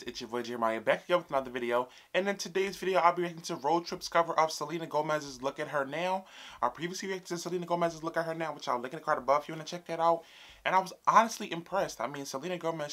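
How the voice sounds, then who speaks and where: A man's voice: fairly high pitch, fairly bright tone. A man in his twenties hosting a casual reaction video, chatty and sassy with his viewers. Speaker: YouTube reaction host. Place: small room indoors, fabric backdrop behind.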